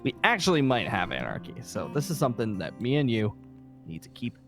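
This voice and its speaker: Guy playing a tired cranky survivor boss in a zombie game, rambles and stops, pitch jumps around, bit of music under it. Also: huge pitch swings, creaky. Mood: secretive, weary. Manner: halting, rambling, sighing, emphatic. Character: weary, irritable safe-haven leader secretly seeking a zombie cure. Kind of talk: improvised Minecraft zombie roleplay.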